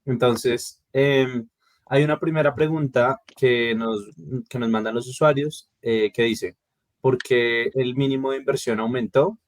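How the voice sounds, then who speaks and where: A man hosts an online interview in a polite, easygoing way, breaking up his sentences with small hesitations. His voice is mid-pitched and dry, picked up close with no room sound. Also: fairly even intonation, unhurried, dry recording. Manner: polite, with hesitant fillers and restarts. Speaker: company founder hosting the interview.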